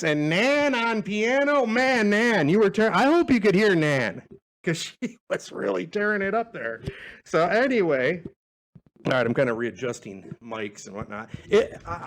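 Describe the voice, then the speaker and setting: A man's voice: on the high side, fairly bright tone. A man hosting a podcast in casual, rambling chat, loose and informal, thinking aloud as he goes. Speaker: podcast host and amateur musician. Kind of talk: casual rambling chat between live songs. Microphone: podcast mic being moved around in a group music session.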